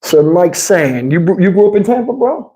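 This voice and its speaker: A man's voice: sits high, sing-song, normal speed, dark tone, low-quality recording. A man talks to his livestream viewers. He rambles in loose slang and swears freely as he goes. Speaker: livestream host. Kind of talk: casual livestream chat with viewers.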